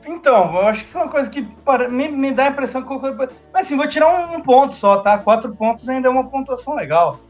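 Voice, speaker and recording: Slangy high-voiced podcast guy. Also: expressive, fast, few pauses, highs cut off, faint music behind. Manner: slangy, loose, laughs often. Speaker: podcast co-host. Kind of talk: casual film review chat.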